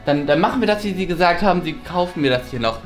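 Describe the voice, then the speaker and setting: A man playing a greedy male art teacher in a comedy sketch, stubbornly defending his snack sales in a bright staff room. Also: high voice, expressive, fast talker, soft background music.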